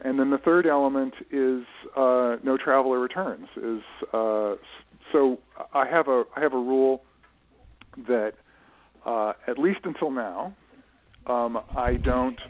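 A man giving thoughtful, explanatory interview answers in a halting way, often restarting and correcting himself as he goes. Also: mid-range voice, phone-quality sound. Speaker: guest, writer managing his late father's literary estate. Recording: band-limited radio-style voice recording.

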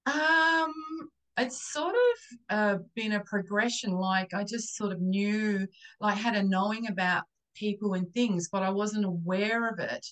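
A woman with an Australian accent chatting casually as an interview guest, halting as she searches for words. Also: medium pitch, fairly bright tone, rough recording. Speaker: interview guest, psychic channeler and Reiki therapist.

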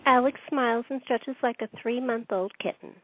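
High-voiced woman narrator, tinny sound. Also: moderate pace. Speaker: narrator reading stage directions. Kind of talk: scripted narration. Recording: tinny phone-like sound with faint noise.

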